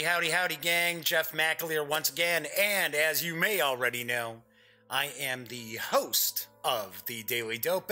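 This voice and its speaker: A man's voice: on the high side, bright, forceful tone. A man hosting an online gaming show, talking casually to viewers in a folksy, chatty, rambling way.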